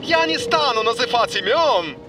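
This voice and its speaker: A man playing a comical, excitable young footballer who acts the pompous amateur detective in a cartoon dub. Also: very high-pitched, moderate pace, far from the mic, noisy background. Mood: pompous accusing. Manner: theatrical, pompous, accusing.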